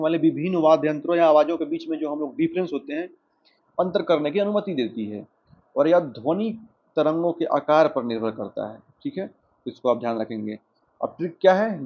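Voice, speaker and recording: A man with an Indian accent teaching an exam lesson, explaining questions and answers directly to students. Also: on the high side. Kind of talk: lesson, working through quiz questions and explanations. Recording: dull lo-fi microphone sound.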